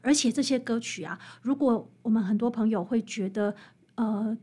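A woman's voice: fairly high pitch, normal speed, warm tone, slightly rough. A woman with a Taiwanese Mandarin accent, an author interviewed as a guest, explaining earnestly and conversationally with repeated, parallel phrases.

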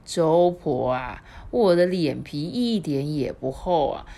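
Sly old male dream god, slow and lowish teasing drawl, storybook put on by a woman narrator. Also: expressive, warm tone, exaggerated voice.

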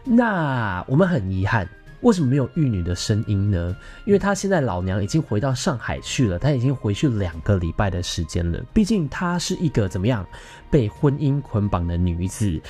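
A man's voice: fairly low pitch, sing-song, normal speed, a little mellow, faint music behind. A man in his thirties with a Taiwanese Mandarin accent, hosting a podcast in a theatrical, gossipy, chatty style with bits of English. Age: 30s. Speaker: podcast host. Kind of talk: casual chatty monologue to listeners.

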